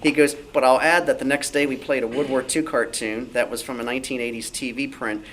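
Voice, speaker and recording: A man's voice: mid-range voice, steady tone, brisk, fairly bright tone. A man at a club meeting telling an informal story, acting out the dialogue as he recounts it. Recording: slightly noisy live meeting recording.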